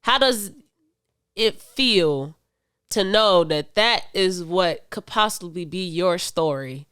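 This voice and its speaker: A woman's voice: lowish voice, slow, a bit bright, clear, resonant tone, no echo, clean studio sound. Laughing, slangy podcast woman. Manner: laughs often, curses freely, slangy. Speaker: podcast co-host. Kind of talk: casual chat.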